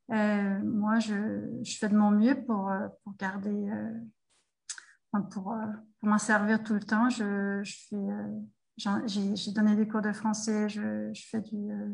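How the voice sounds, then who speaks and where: A woman's voice: medium pitch, gravelly. A middle-aged woman from Denmark, speaking French as a guest in a casual remote interview, hesitant and full of fillers and restarts. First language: Danish.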